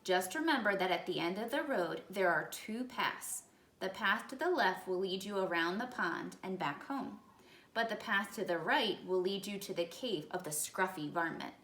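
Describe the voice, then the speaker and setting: A woman's voice: mid-range voice, lively intonation, normal speed, a bit bright, close to an everyday voice. An exasperated yet patient storybook rabbit parent, voiced by a woman, giving careful, insistent instructions. It is recorded in an echoey room. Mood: warning.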